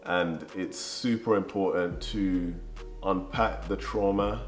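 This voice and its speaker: Low-voiced young man giving advice. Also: steady tone, slow pace. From Birmingham, England. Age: young adult. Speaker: co-host of a relationship advice vlog. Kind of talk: casual advice talk to camera. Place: living room, on a sofa. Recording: echoey room. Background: music.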